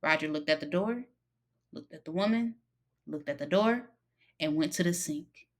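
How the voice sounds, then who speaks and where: A woman narrating a short story expressively, with dramatic pauses and emphasis. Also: sits low. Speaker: story reader.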